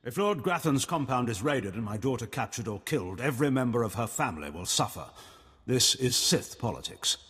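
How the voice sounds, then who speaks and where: Haughty, husky imperial admiral. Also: male voice, medium pitch, sing-song, a little fast, a bit bright, big-room sound. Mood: grave. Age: middle-aged. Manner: formal, condescending. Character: cold, haughty middle-aged imperial admiral. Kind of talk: video game voice acting.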